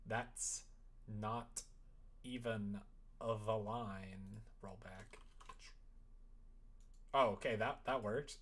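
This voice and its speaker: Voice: medium pitch, fairly even intonation, drawn-out words, pauses often, fairly bright tone, breathy edge. A streamer giving casual live coding commentary, thinking aloud, muttering to themselves and breaking into playful exclamations. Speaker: streamer making a visual novel.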